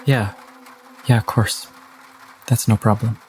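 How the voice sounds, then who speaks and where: A man's voice: on the low side, flat delivery, darkish tone, slight background noise. A man voicing a meek, hesitant woman. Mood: meek, agreeable. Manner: hesitant, halting. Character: shaken, meek woman who lives alone, polite and compliant.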